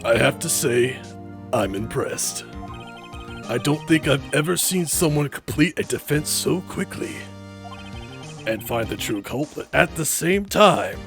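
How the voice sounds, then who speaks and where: A man voicing a solemn, easily rattled old judge in a video game, with a gravelly voice. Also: on the high side, lively intonation, measured pace, music in the background. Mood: impressed, measured.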